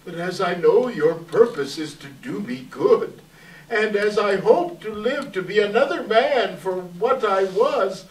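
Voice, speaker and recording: A frightened old miser acted by a man speaks slowly and earnestly in a high male voice. He pleads like a repentant soul in a staged reading, heard in a roomy space from a distant microphone. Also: fairly bright tone. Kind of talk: readers theater acting. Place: indoor live staged reading.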